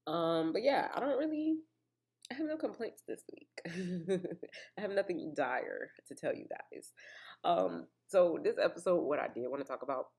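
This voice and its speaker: A woman's voice: lowish voice, lively intonation, moderate pace, darkish tone, husky. A woman hosting her own podcast in a casual, chatty way, full of asides to listeners and still a little congested. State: slightly congested with a cough. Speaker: podcast host. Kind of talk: casual solo podcast chat.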